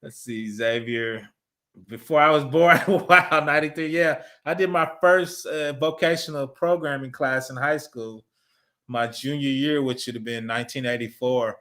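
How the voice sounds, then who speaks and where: A man's voice: medium pitch, moderate pace, bright, forceful tone. A man, chatting casually on a livestream, reading viewer messages, laughing often and rambling through asides. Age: 50s. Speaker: livestream host reading super chats.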